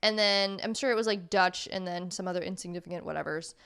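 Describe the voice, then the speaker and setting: A woman co-hosting a podcast in casual chat, talking in rambling, chatty runs full of quick asides. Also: on the low side, a bit bright, smooth. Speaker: podcast co-host.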